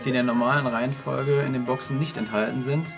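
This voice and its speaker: Man, medium pitch, explanatory co-host commentary, music clearly behind. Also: fairly even intonation, fast. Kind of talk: explanatory commentary over a cartoon. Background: cartoon soundtrack music.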